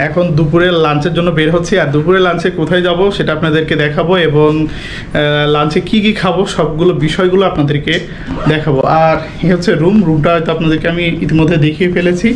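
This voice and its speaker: A man's voice: a little high, level tone, fast. A man with a Bangladeshi Bengali accent narrating a casual travel vlog to viewers in a conversational way. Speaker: travel vlogger. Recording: noisy outdoor and traffic ambience.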